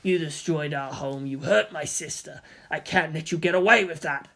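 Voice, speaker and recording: A bitter, stubborn teenage jock played by a narrator in a story reading. The voice is gruff and pitched down, with a confrontational edge. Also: normal speed, fairly bright tone. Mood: bitter anger.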